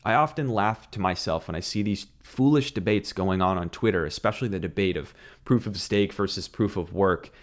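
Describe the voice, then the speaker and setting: A man in his thirties with a lowish voice, delivering scripted crypto commentary in a brisk, opinionated way and scoffing at silly debates. His voice is a touch scratchy from a sleepless night. He speaks close to a broadcast desk mic in a small home studio. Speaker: crypto show host. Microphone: desk broadcast mic on arm.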